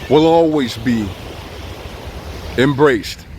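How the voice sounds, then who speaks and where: A man from Southern California with a Southern Californian accent, filming himself outdoors by the beach and talking casually in a relaxed, rambling way that circles back on itself. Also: mid-range voice, extremely slow, a little hiss or hum. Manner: relaxed, rambling, repeats himself, chuckles often. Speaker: vlogger. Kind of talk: casual tribute vlog. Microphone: phone or camera filming himself.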